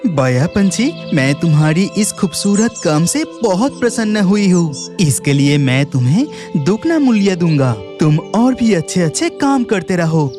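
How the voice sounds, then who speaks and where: A man voicing a proud, generous father bird in a cartoon, praising and expressive, with music behind. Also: sits high, measured pace. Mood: proud, pleased. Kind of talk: cartoon character acting.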